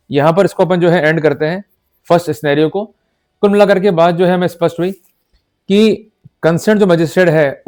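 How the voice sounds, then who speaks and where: A man with a slightly high-pitched voice delivers an explanatory lecture as a legal educator. He is didactic, stopping to check understanding with short questions. Formal passages are read out slowly and deliberately. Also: a little mellow. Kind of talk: explanatory law lecture mixing Hindi and English.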